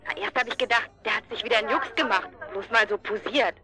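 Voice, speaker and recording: A woman acting in a German radio drama as a chatty, excitable, cheeky daughter, telling things in a breezy, gossipy, slangy way. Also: on the high side, quick pace, crowd noise, natural voice. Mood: excited, amused.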